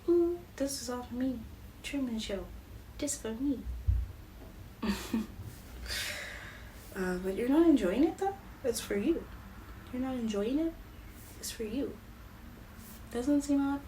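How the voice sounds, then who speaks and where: A young woman giving a casual psychic reading to her viewers, musing and dreamy, with soft giggles. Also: a little high, a little hiss or hum, rough recording. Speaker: psychic reader channeling messages. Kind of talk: casual spiritual reading to camera.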